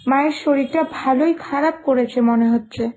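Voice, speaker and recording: A woman playing a caring, fretful young daughter-in-law in a cartoon, with exaggerated, expressive delivery. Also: sits high, a little fast, slight background noise, close to an everyday voice, poor audio. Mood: worried. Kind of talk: scripted cartoon dialogue.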